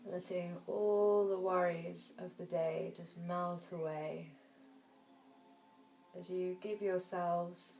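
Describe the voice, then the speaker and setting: Slow, soothing woman guiding deep relaxation. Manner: soft, soothing, gentle, with long pauses. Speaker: yoga nidra teacher. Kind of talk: guided relaxation meditation. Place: indoor room. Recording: roomy echo, faint background noise.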